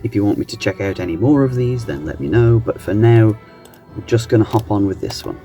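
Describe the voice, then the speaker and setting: A man hosting a reaction channel, chatting casually in a halting, musing way. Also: on the low side, lively intonation, dark tone, faint music behind. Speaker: host of a reaction video channel. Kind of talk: casual chat reacting to analog horror videos.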